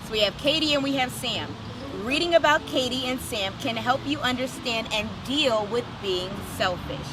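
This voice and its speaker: High-pitched woman hosting children's story time. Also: normal speed, noisy background. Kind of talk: children's read-aloud with direct questions to young viewers. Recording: music under the voice. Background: music.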